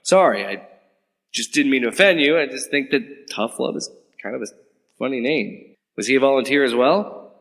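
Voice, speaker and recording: A man's voice: mid-range voice, lively intonation, normal speed, fairly bright tone, big-room sound. A man playing an earnest, slightly awkward government agent who asks questions politely and hesitantly. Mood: questioning. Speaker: interrogating agent. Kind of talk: audio drama acting.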